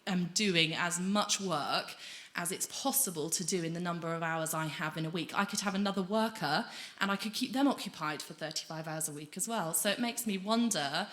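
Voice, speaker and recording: A woman's voice: fairly low pitch, moderate pace, fairly bright tone. A young woman giving an earnest, emphatic conference presentation at a lectern microphone. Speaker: conference speaker, schools anti-homophobia worker. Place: auditorium stage at a lectern, indoors. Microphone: gooseneck lectern microphone.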